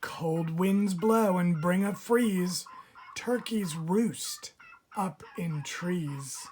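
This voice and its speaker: A woman with a fairly low voice reads a children's rhyming storybook very slowly. Her phrasing is measured and expressive. There is clear background noise with turkeys gobbling behind her. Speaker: picture book reader. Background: turkeys gobbling and clicks.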